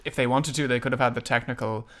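Flat-toned male co-host. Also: mid-range voice, quick pace. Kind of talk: casual chat.

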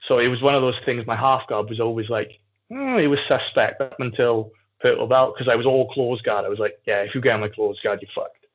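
A man from the South Wales Valleys tells stories in a candid, informal way, as a guest in a relaxed conversation. The audio is narrow and phone-like, as if over a call. His pitch is average for a man. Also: fairly quick. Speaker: podcast guest, jiu-jitsu athlete. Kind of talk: casual conversational storytelling.

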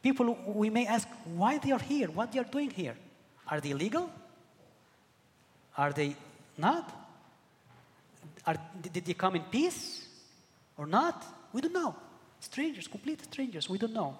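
A middle-aged man from Egypt preaching a sermon, earnest and halting, often repeating words as he searches for the next one. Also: high voice, sing-song, big-room sound. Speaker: pastor.

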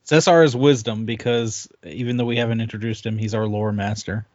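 A man's voice: on the low side, steady tone, brisk, a little mellow, no room sound, dull audio. A man co-hosting a podcast, chatting casually about video games. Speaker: podcast co-host.

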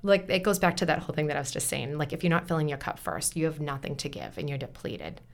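A woman's voice: deep voice, expressive, fast, creaky. A woman in her forties with a Boston-area accent, chatting earnestly as a podcast guest and giving encouraging, motivational advice. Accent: Boston-area American. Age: 40s. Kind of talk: casual chat.

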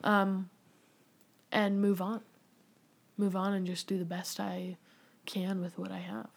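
A woman's voice: medium pitch, little pitch movement, slow pace, creaky. A young adult woman talking candidly as a podcast guest, rambling with lots of filler words. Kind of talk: casual candid conversation.

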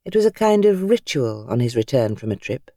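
A British woman reading fiction as an audiobook narrator, with measured, expressive, dramatic storytelling. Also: rumbling low, no echo, studio quality.

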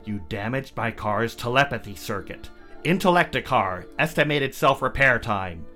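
A man voicing a grim superhero who speaks slowly in a lofty, patient teacher tone. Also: mid-range voice, lively intonation, bright, forceful tone, soft background music. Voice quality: slow, lofty, patronizing.

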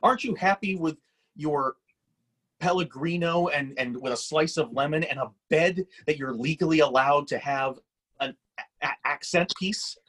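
An actor playing a weary, resentful grown son in a dramatic table read, with a slightly husky voice and a halting delivery. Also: male voice, on the high side, fairly bright tone. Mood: sarcastic, hurt. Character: resentful, weary grown son confronting his absent father. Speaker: actor in a screenplay reading.

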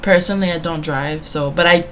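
A young woman with a very low voice chats casually, speaking softly but bluntly and swearing without a second thought. A faint noise sits behind her voice. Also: fairly quick, rough recording. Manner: blunt, swears freely, soft-spoken. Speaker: co-host. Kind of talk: casual chat.

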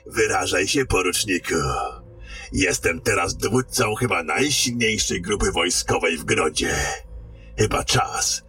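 A man's voice: low voice, measured pace, bright, forceful tone, soft background music. A man playing a haughty, menacing rebel commander with an imperious manner in a fantasy radio drama. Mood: smug, menacing. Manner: imperious, threatening.

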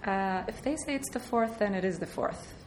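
A woman from Iraq answering interview questions, soft-spoken, often hesitating and laughing. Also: mid-range voice, normal speed, a little hiss or hum. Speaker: artist, interview guest.

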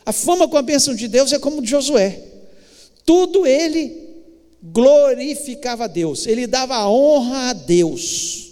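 Slow, high-voiced Brazilian man preaching. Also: expressive, mellow, in a large hall. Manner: exhorting, emphatic, with deliberate pauses. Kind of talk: church sermon. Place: church, live worship service.

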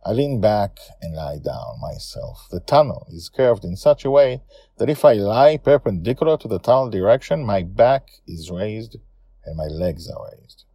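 A man voicing a reflective, wistful old grandfather who tells his dream adventure story in a musing, unhurried way. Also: on the low side, very animated, dark tone, dry recording. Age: older. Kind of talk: first-person audio story narration.

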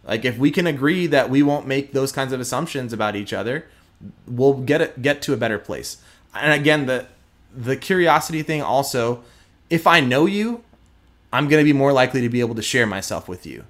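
A young man from Houston with a faint Texas twang talks candidly in an interview. His voice sits at an ordinary middle pitch, and he presses his points with emphasis, often breaking off and restarting mid-sentence. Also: lively intonation, a little fast, a bit bright. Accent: slight Texas twang. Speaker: guest, prosecutor.

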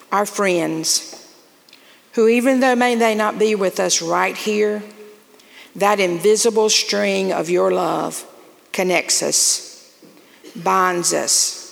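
A woman giving a gentle, coaxing children's message and prayer in church. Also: medium pitch, gravelly. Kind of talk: children's sermon. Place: church sanctuary. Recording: reverberant church sanctuary with faint noise.

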